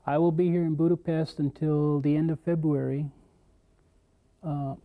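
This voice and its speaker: Relaxed young American man. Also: on the high side, normal speed, narrow, tinny audio. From southwestern United States. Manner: relaxed, hesitant with fillers. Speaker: guest, teacher trainee. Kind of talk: classroom guest answering questions. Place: school studio.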